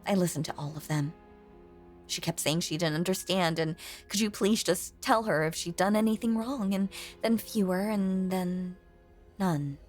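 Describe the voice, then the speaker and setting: A woman playing a lonely, possessive female ghost in an intimate audio drama monologue, hushed and coaxing, with genteel old-fashioned phrasing and a breathy voice that often sinks toward a whisper. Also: fairly low pitch, normal speed, faint music behind, natural voice. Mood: quietly resentful, possessive.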